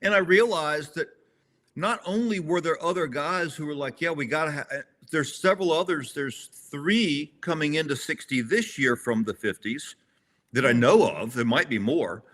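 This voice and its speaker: A man in his sixties with a bright, forceful voice talks through a casual interview. He rambles and restarts his sentences, correcting himself as he goes. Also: on the high side, normal speed. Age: 60s. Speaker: interview guest, competitor.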